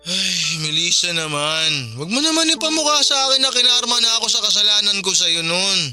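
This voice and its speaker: A man's voice: high-pitched, very animated, brisk. A man playing a regretful, lovelorn young ex-boyfriend in a radio drama, plaintive and wheedling, with a Filipino accent. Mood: sad, reproachful. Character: regretful, pleading ex-boyfriend still in love. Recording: music behind the voice.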